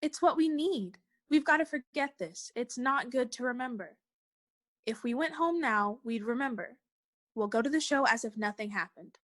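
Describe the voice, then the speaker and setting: A woman's voice: on the high side, expressive, normal speed, a bit bright. A woman playing a resolute young woman in a radio play, firm and urgent as she steadies others. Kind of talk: 1940s-style radio play acting.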